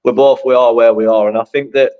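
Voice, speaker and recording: A man's voice: medium pitch, fairly even intonation, quick pace, clean tone, highs cut off. A man, co-hosting a casual fan podcast, rambling and often saying "you know what I mean". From Sheffield, England. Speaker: podcast co-host. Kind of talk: casual chat.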